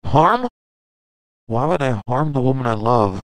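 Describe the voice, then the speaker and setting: A man's voice: mid-range voice, expressive, a little slow, no echo. A man playing a smug, possessive, devoted spirit in an audio drama, with a haughty, theatrical manner.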